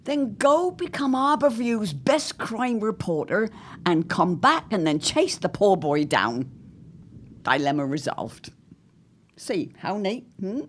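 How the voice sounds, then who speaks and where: Casting: protective, witty middle-aged matron, period audio drama, theatrical swoops, lowish female voice, genteel airs. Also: full character voice. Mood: wry. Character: arch, witty, protective society mother in 1917.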